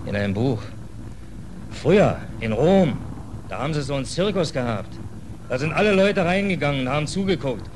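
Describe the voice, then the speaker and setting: A man's voice: fairly high pitch, expressive, moderate pace, a little mellow, lightly acted. A man playing an embittered, hungry sailor in a 1940s radio drama, brooding and colloquial. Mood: bitter, brooding. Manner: colloquial, brooding, indignant, clipped working-class speech. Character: embittered, hungry stateless sailor on a death ship. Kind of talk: 1940s German radio play acting. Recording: noisy old 1940s radio recording.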